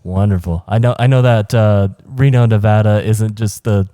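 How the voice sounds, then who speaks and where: A man's voice: fairly low pitch, steady tone, moderate pace, dark tone, clean tone, no echo, clean studio sound. A man in his thirties co-hosting a podcast in casual chat, hesitant, with many uhs and restarts. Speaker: podcast co-host.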